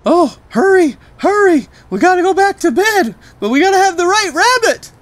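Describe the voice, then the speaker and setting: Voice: very high-pitched, big pitch swings, normal speed, no room sound, voice pushed up. A storybook reader voicing a distraught little girl, whiny, stammering and pleading. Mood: urgent.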